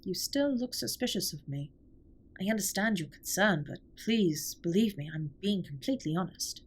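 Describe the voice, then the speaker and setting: A woman playing an alien spaceship captain in a comfort audio roleplay, speaking softly in formal, precise sentences with gentle, earnest concern. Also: mid-range voice, animated, slightly rough. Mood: apologetic, reassuring. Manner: formal, stiffly precise, measured wording.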